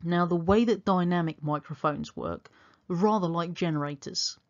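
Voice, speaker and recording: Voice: mid-range voice, moderate pace. Slightly muffled teacher narrating a science lesson. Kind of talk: explanatory revision lesson narration. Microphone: dynamic microphone.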